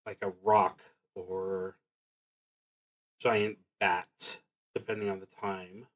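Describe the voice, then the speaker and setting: Slow-paced, musing man. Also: low-pitched, flat delivery, fairly bright tone, poor audio. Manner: halting, musing, trails off mid-thought. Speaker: streamer playing a solo tabletop roleplaying game. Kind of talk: unscripted solo game play narration, thinking aloud.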